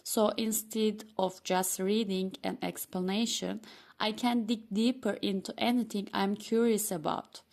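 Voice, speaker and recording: A woman whose first language is Turkish, presenting a scripted walkthrough of software she built. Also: mid-range voice, fairly even intonation, unhurried, gravelly. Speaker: developer demoing her software. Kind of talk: scripted screen-recording walkthrough.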